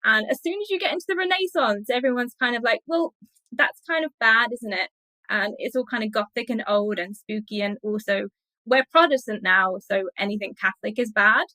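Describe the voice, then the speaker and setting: A woman's voice: fairly high pitch, a little fast, bright, forceful tone, no room sound, rough recording. A woman speaking as an academic interview guest, explaining things in a chatty, colloquial way with loose lists. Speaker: academic guest, literature researcher. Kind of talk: informal explanatory interview talk.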